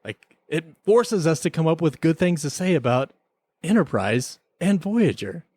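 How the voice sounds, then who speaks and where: A man's voice: on the high side, lively intonation, measured pace, darkish tone, breathy edge, no echo, clean studio sound. A man talking casually as a podcast co-host, giving his review of a TV episode.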